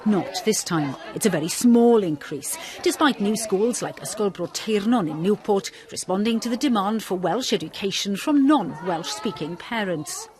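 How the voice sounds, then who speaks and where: A woman reporting a broadcast news story in a measured, clear voice. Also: mid-range voice, lively intonation.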